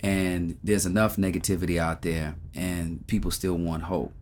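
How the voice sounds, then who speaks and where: Deep-voiced earnest man. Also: flat delivery, normal speed, darkish tone, slight background noise. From Ethiopia. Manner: earnest and reflective, recites rhymes. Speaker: guest musician. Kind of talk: casual interview talk.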